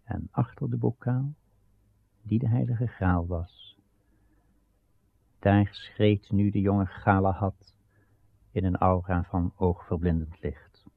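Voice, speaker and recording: A man narrating a radio drama as a solemn storyteller, measured and grave with a gravelly voice. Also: low voice. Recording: tinny, band-limited old radio recording.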